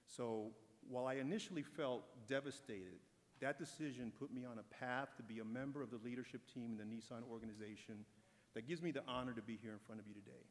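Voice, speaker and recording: A man giving a prepared, encouraging speech as a corporate speaker at an awards ceremony. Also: medium pitch. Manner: measured, encouraging delivery. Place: large event hall. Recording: long hall reverb.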